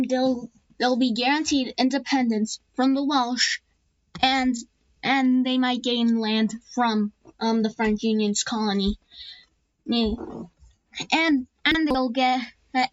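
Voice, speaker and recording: Slow, halting woman narrating a map. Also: on the high side, no echo, lo-fi sound. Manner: halting, many restarts and fillers. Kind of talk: casual narration of an alternate history map.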